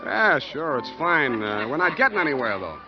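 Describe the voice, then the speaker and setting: An actor playing a wry, street-wise private detective, deadpan and wisecracking, in 1940s noir radio style. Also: male voice, fairly high pitch, normal speed. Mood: cagey, casual. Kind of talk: 1940s radio acting, first-person noir narration. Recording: muffled old radio broadcast with music.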